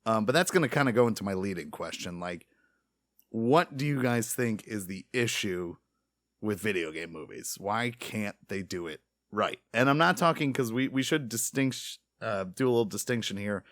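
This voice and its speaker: A man's voice: lowish voice, expressive, normal speed, a bit bright, dry recording, studio quality. A man hosting a casual podcast discussion, talking in a rambling, opinionated way. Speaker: podcast host leading the discussion. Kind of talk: casual chat.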